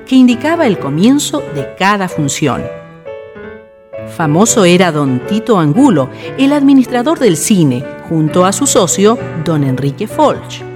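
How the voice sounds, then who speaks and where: A woman narrating a radio drama in a scripted storytelling style. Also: mid-range voice. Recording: music behind the voice.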